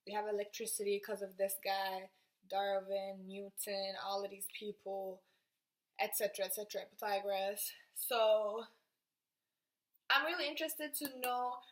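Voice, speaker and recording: Young woman, from Norway, casual vlog explaining, mid-pitched voice, roomy echo. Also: steady tone, unhurried. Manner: thinking aloud, restarting and trailing off while writing on a board. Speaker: self-study vlogger.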